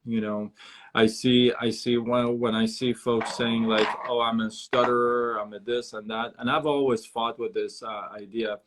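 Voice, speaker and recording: A man's voice: mid-range voice, little pitch movement, background noise. Man who stutters, hosting a chat. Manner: halting, frequent repeats and stutters. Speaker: host. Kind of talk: casual interview chat.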